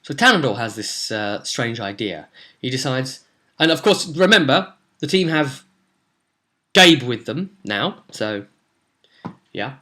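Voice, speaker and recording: Young male vlogger and game master, bright edgy tone, casual, halting storytelling. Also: mid-range voice, big pitch swings. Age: young adult. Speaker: tabletop role-play game master, vlogger. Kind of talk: casual recounting of a game session. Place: small room at home, indoors.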